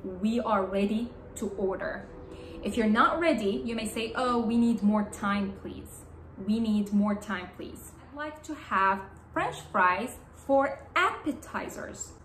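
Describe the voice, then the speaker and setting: A young woman whose first language is Arabic, speaking straight to the camera as an English teacher and repeating key phrases slowly and clearly. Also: mid-range voice, animated, fairly bright tone. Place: small bright indoor room. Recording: roomy indoor sound with faint room hum.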